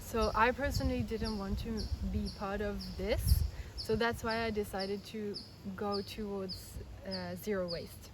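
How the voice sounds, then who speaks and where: A woman in her thirties from Belgium, raised in France, calmly explaining her views in an interview, earnest and step by step with frequent restarts. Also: medium pitch, a little slow. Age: 30s. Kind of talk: casual explanatory interview answers. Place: outdoors in India. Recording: outdoor recording with clear background noise.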